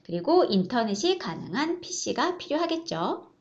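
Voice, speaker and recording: A woman with a high voice and very animated intonation narrates an online course. She explains things politely, step by step, as an instructor. The recording is a little dull and lo-fi. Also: moderate pace.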